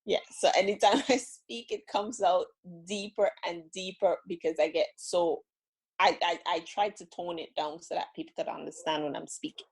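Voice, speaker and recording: Low gravelly Caribbean woman caller. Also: steady tone, darkish tone. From the Caribbean islands. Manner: halting and earnest, with many restarts. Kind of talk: casual call-in conversation.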